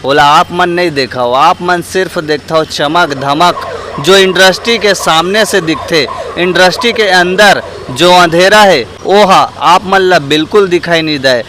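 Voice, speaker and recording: A man's voice: sits high, unhurried, noisy background. A man with a Chhattisgarhi accent presenting a vlog as host, earnestly addressing viewers directly as friends. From Chhattisgarh, India. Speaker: host and street interviewer. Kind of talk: vlog presenting with public opinion questions.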